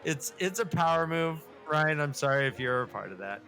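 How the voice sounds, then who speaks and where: A man co-hosting a podcast, chatting casually and jokily in a rambling, halting way. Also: fairly high pitch, expressive, bright, forceful tone. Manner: jokey, rambling, halting delivery. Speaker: podcast co-host. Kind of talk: casual comedic banter and book chat.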